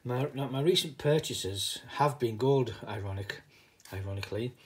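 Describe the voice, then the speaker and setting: A man's voice: medium pitch, moderate pace. Middle-aged man with a Teesside accent. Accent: northeast English, Teesside. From northeast England (Middlesbrough). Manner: rambling, digressive. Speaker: video host, hobbyist coin stacker. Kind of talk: casual unscripted vlog.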